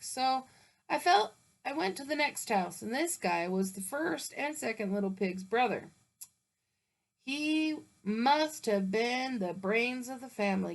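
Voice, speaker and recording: Woman reading as an aggrieved sly wolf. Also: a little high. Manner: indignant, mock-innocent storytelling. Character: wolf, male, grumbling sly self-pitying narrator.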